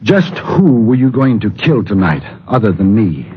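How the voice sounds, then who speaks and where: A man's voice: on the low side, sing-song, moderate pace, slight background noise. An actor playing an anxious, desperate everyman in a 1940s radio thriller, with an urgent dramatic delivery. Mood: frightened. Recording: muffled old radio recording with music.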